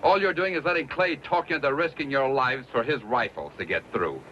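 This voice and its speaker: An actor playing a gruff, stubborn frontier trail man in a western radio drama, with a rustic American dialect and emphatic delivery. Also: medium pitch, expressive, brisk, pushed and bright, a little hiss or hum. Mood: scornful. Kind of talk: old-time western radio drama acting. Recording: dull old radio recording with music.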